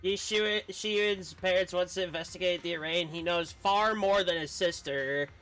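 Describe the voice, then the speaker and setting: A woman's voice: on the low side, moderate pace, a bit bright, faint music behind. A woman streamer giving casual playthrough commentary and reading game dialogue aloud.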